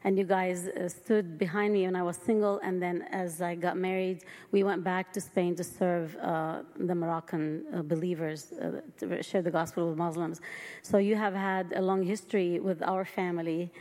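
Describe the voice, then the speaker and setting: Middle-aged female missionary telling her story, fairly low pitch, big reverberant church space. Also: level tone, moderate pace, a little mellow. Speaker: missionary guest. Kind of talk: personal testimony in an interview. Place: indoor church hall. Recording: reverberant large hall.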